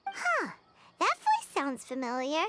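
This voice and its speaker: A woman voicing a small, chirpy, childlike fairy companion in a video game, exclaiming excitably in a squeaky voice. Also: slow, slight background noise, heavily acted. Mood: curious, excited. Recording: loud music behind the voice. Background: game music.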